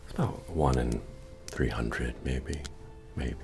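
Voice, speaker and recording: A man playing a friendly, humble medieval innkeeper and retired adventurer in a soft-spoken, folksy ASMR fantasy roleplay, with hesitant murmuring. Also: slow, full character voice. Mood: folksy, cautioning. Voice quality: deep, gravelly, often breathy or whispered. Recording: music under the voice. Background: medieval bard music.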